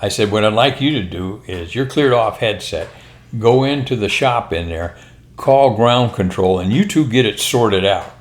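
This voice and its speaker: An older American man, a former pilot, telling anecdotes in a casual, unhurried, rambling conversational way. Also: on the low side, lively intonation. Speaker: retired military and airline pilot telling stories. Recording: roomy, echoing room sound.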